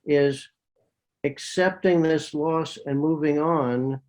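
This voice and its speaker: A man's voice: on the high side, steady tone, slow pace, darkish tone, rough recording. A psychiatrist, a man, answering questions thoughtfully over a video call, often repeating words as he thinks. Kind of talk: answering questions in an online talk.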